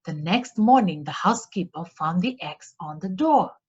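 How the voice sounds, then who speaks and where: A woman narrating a storybook in a deliberate, expressive read-aloud manner for children, on a slightly dull recording. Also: on the low side, breathy edge.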